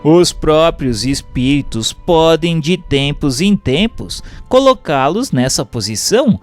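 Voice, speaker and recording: A Brazilian man reading a book aloud as scripted audiobook narration, in a measured, formal way. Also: fairly high pitch.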